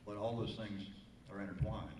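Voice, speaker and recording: A man's voice: lowish voice, level tone, normal speed, background noise. A man, a congressional candidate speaking at a forum, impassioned and full of rhetorical questions. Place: community forum room. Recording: echoey room from far off.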